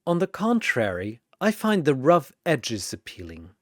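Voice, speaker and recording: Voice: mid-range voice, wildly swooping pitch, unhurried, no room sound, clean studio sound. A narrator voicing a suave, teasing male vampire rogue with a silky, amused, flirtatious manner in an audio story. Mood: amused admiration.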